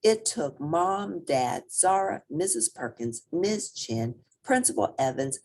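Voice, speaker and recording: A woman reading a children's picture book aloud as an expressive storyteller with theatrical emphasis. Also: sits low, slow pace, a little hiss or hum.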